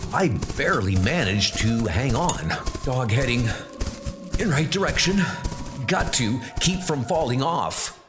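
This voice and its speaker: A man playing a wounded knight who gasps out an alarmed survivor's account in a breathless comic-book audio drama style. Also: mid-range voice. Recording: music under the voice.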